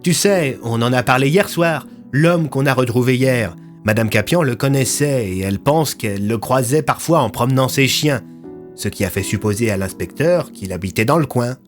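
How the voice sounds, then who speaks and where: A man plays a caring young-hearted magician in an audio story. He speaks gently and reassuringly in a middle register, with music behind. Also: medium pitch, normal speed, close to an everyday voice. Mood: casual, covering up. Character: caring, quick-thinking male magician friend.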